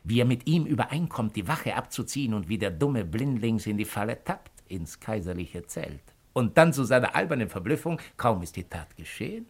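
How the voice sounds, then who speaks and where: Shrewd Roman imperial agent, low voice, lively swooping intonation, mocking, worldly, 1950s radio drama. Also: male voice, slightly rough, full character voice. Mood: mocking, relishing. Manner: mocking, worldly, deliberate rhetorical delivery. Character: shrewd, cynical male imperial agent of ancient Rome. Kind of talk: 1950s German radio play acting.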